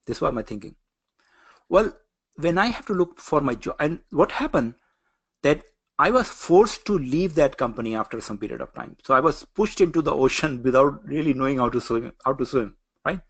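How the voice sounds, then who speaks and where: A man's voice: mid-range voice, lively intonation, moderate pace, breathy edge. A middle-aged man from Pakistan, with a Pakistani accent, giving a career coaching lecture in an earnest manner, often repeating phrases and using fillers. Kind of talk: online coaching session lecture.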